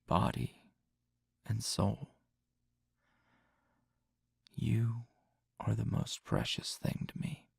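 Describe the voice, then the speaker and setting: A man acts out a protective, gently teasing boyfriend in an intimate ASMR roleplay. His voice is low and thick with gravelly fry, breathy around the edges. He speaks softly and slowly, leaving pauses, as if lulling a lover to rest. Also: dry recording, studio quality, full character voice. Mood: tender, reassuring. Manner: slow, soft-spoken, with pauses and soft chuckles. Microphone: close, dry microphone.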